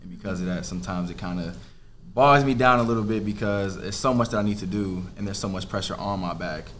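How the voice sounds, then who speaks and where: A man's voice: low-pitched, fast talker, a bit bright, slight background noise. A 24-year-old man on a podcast panel, speaking earnestly and reflectively. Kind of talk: casual roundtable chat.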